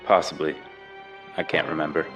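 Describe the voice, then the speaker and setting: A man playing a cold, evasive middle-aged killer under questioning, answering in a flat, withholding way. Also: very deep voice, normal speed, over music.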